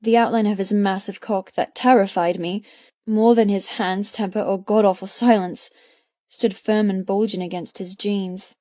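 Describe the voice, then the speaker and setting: A woman narrating a first-person audiobook with expressive, intense, dramatic delivery. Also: mid-range voice, moderate pace, dry recording. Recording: slightly muffled, dry close studio sound.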